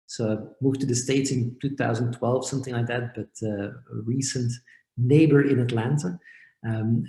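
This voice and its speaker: A man from Belgium, a company founder, explaining his work step by step in a measured way over a video call. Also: mid-range voice, gravelly, dark tone. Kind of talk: explanatory interview answers. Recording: echoey room.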